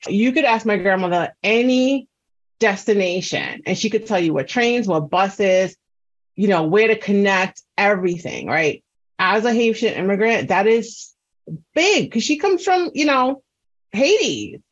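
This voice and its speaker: A woman's voice: mid-range voice, animated, a little slow, highs cut off. A woman in her forties from New York City, a guest telling family stories in an earnest, chatty way full of "you know" and "right?". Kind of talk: casual interview chat. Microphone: video call.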